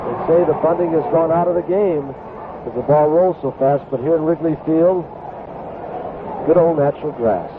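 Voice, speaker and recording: A man's voice: a little high, moderate pace. An American man giving conversational baseball analysis on the radio from a ballpark booth. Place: open-air ballpark booth. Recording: tinny, noisy 1970s broadcast with crowd. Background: ballpark crowd.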